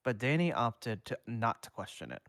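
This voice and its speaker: A man streaming a reading of fan fiction aloud, with a steady read that has small slips and restarts. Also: medium pitch, normal speed, no echo, clean studio sound. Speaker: fanfic reader and streamer.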